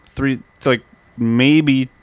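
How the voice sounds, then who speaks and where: A man's voice: mid-range voice, steady tone, extremely slow, clean tone, no echo. A man co-hosting a podcast, casually recounting history and laughing along with his co-host. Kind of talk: casual chat.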